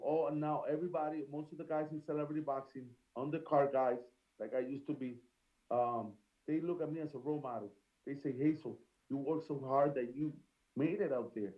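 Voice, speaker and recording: Earnest Puerto Rican-accented man, lo-fi. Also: mid-range voice. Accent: Puerto Rican Spanish. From Puerto Rico. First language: Spanish. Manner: earnest, repeats "you understand?". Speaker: boxer guest. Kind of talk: casual interview chat.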